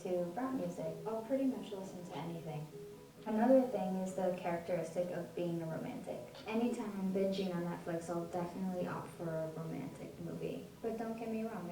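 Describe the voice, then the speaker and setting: A young American woman, a college student, reading a scripted personal narration in an even, read-aloud way. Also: fairly low pitch, far from the mic. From United States. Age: young adult. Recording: echoey audio heard from afar over music.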